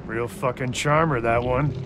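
A man's voice: medium pitch, steady tone, normal speed, over music, lightly acted. An actor playing a sardonic, wary man muttering to himself in a video game, dry and sarcastic. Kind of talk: dramatic video game acting.

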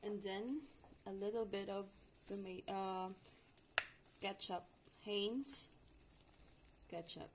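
Slow-talking Filipina woman, Ilonggo accent. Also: medium pitch, fairly even intonation, a little hiss or hum. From Philippines. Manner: slow, hesitant English, often says "guys". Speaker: cooking vlogger. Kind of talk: casual cooking narration. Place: home kitchen by a gas stove.

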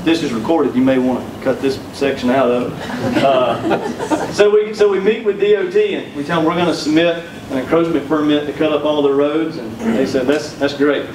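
A man's voice: on the high side, moderate pace, hardly any pauses, distant mic, background noise. Folksy Southern man in an echoey room. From South Carolina. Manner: folksy storytelling with humor. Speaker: keynote speaker. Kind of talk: conference keynote talk. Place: conference room, indoors. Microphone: handheld mic, recorded from far back.

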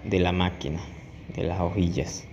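Guy doing a barber tutorial, deep flat voice, explaining step by step, echoey noisy recording. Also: normal speed, lo-fi sound. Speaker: barber teaching a haircut tutorial. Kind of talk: instructional voice-over tutorial. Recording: echoey room with clear background noise.

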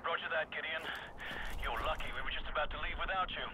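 A man acting a brisk military pilot on the radio in a war game. His voice is high and crisp, procedural, buried in loud engine and helicopter noise. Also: fairly even intonation, lightly acted. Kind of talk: video game radio chatter.

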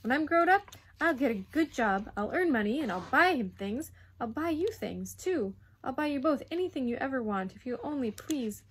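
A woman's voice: sits high, sing-song, moderate pace, a little hiss or hum, pitched above the performer's own voice. A woman voicing an earnest working-class schoolboy in a story, urgently imploring. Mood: desperate pleading. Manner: urgent, imploring, words racing.

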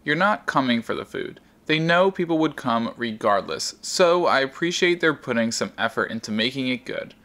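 A man co-hosting a vlog, narrating a measured restaurant review. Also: mid-range voice, animated, fairly bright tone. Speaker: vlog co-host.

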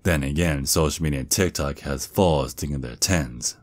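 Male actor as protective werewolf father, Southern US drawl, extremely deep, taunting and menacing, ASMR roleplay, very dry recording. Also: normal speed, dry recording. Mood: mocking. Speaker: audio roleplay performer.

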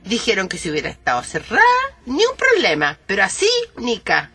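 An actress playing a folksy, aggrieved household maid with a Chilean accent in a radio comedy. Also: female voice, mid-range voice, brisk, breathy edge, heavily acted. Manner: folksy, animated. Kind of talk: broadcast radio comedy acting.

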